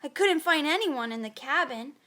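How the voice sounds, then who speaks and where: A woman reading a picture book aloud to children in a dramatic, expressive storytelling style. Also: high-pitched, normal speed.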